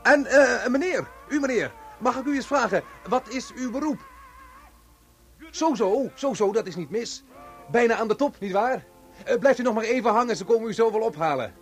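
An actor playing a chummy, unctuous radio reporter doing mock live commentary in a radio drama. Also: male voice, very high-pitched, expressive, moderate pace, faint music behind. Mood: jovial, ingratiating. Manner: cheerfully unctuous, chatty. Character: smarmy, chummy male radio reporter at a grim spectacle.

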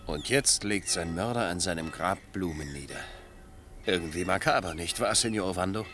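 An actor as an earnest, low-voiced, troubled investigator. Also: male voice, normal speed, music in the background, close to an everyday voice. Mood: probing. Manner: earnest, questioning, dryly ironic. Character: earnest, troubled man investigating a crime, wryly sceptical. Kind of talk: German radio play acting, 1980.

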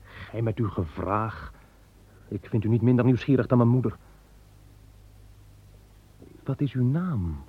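A man's voice: medium pitch, animated, unhurried, long pauses, slightly rough. A man playing a weary, hardworking son of the first man, talking bluntly and plainly in an old radio play. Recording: tinny old radio play recording with faint hiss.

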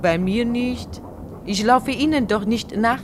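A woman's voice: a little high, unhurried, background noise, natural voice. An actress playing a reproachful, easily flustered lady traveller in a German radio play, with slightly foreign phrasing. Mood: cool reproach.

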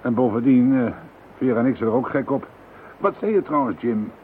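A man's voice: mid-range voice, a little fast, narrow, tinny audio, slight background noise, close to an everyday voice. An actor playing a friendly, easygoing male police colleague in a radio drama.

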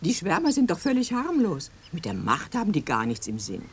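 A woman's voice: on the low side, huge pitch swings, a little fast, slight background noise. An actress playing a breezy, hospitable Prague hostess who reassures her guest in a radio play. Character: chatty Prague hostess, woman.

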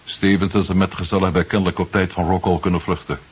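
An actor playing a brooding, eager security recruit in a radio play from the 1970s. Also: male voice, low voice, level tone, quick pace, slightly rough. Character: brooding, eager man newly joining a security service. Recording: dull, hissy 1970s radio drama tape.

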